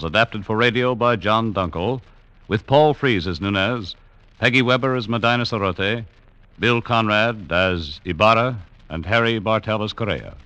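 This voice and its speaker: A man reading the closing credits of a radio drama in a crisp, formal announcer's manner. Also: fairly low pitch, sharp tone, no room sound, poor audio. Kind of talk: scripted broadcast credits.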